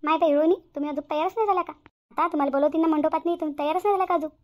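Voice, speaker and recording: Woman acting fast, high-pitched blunt sister-in-law. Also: fairly even intonation, natural voice. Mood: fussing. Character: blunt, quarrelsome young sister-in-law at a family engagement. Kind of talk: Marathi family comedy cartoon dialogue. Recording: slightly dull lo-fi audio.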